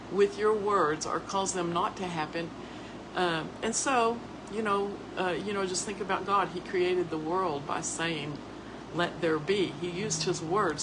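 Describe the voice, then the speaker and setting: A woman, hoarse, hosting a casual livestream and talking to listeners in a chatty, folksy way like they are family. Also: on the low side, background noise. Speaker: host.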